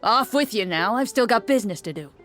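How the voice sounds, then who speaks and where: A woman voicing a gruff, greedy, cynical male merchant in a video game, curt and dismissive. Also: medium pitch, animated, brisk, a bit bright, soft background music, lightly acted. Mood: cynical, grudging. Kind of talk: video game character acting.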